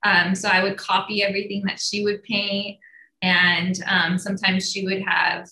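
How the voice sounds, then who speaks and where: Casting: Iranian-born woman guest, casual rambling storyteller, bright tone, echoey room. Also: mid-range voice, completely flat delivery, normal speed. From Iran. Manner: chatty, many fillers and restarts. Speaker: guest entrepreneur. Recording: roomy echo.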